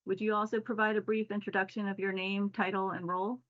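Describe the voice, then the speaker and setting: A woman's voice: mid-range voice, level tone, fast talker, fairly bright tone, dull audio. A woman hosting a panel discussion, reading formal introductions and questions. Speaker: panel moderator.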